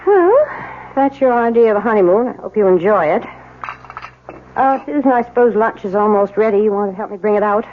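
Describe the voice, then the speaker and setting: Actress as a crotchety old aunt, tinny radio. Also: female voice, mid-range voice, brisk, natural voice. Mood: stern disapproval. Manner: curt, scolding, interrogating. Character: crotchety, suspicious old aunt, blunt and disapproving. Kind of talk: 1970s radio drama acting. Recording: tinny, faintly noisy old radio broadcast.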